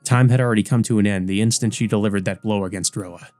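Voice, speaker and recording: A man's voice: lowish voice, steady tone, fast, darkish tone, dry recording, faint music behind. A man reading a visual novel aloud as dramatic scripted narration. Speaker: let's play narrator.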